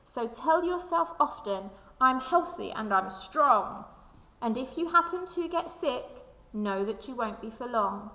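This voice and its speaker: In a very reverberant room, a woman reads to children like a primary teacher. Her voice sits fairly high with a creaky edge, and she addresses her young listeners directly. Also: animated, a little slow, pushed and bright. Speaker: primary school teacher reading aloud to children. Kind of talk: expressive children's storybook read-aloud with reflective questions. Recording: echoey room with long reverb.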